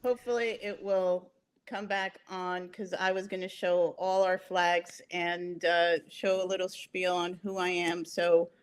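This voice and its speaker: A woman's voice: lowish voice, level tone, a little slow, clear, resonant tone. A woman raised in Caracas and living in New York, chatting energetically as a panelist on a video call. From born in New York, raised in Caracas. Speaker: panelist, organization president.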